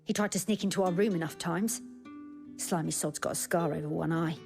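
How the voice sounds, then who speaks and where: A woman's voice: on the low side, a little fast, gravelly, darkish tone. A woman with a British accent playing a blunt, sardonic brothel girl in a fantasy video game, talking in an earthy, frank way. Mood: scornful, frank. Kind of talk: video game cutscene acting. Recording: music behind the voice.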